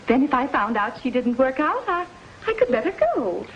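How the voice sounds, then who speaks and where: A woman's voice: sits high, big pitch swings, normal speed, a little hiss or hum. An American actress playing a fretful, proper middle-aged society wife and mother in a 1950s television western. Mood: doubtful. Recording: dull vintage TV soundtrack, faint music.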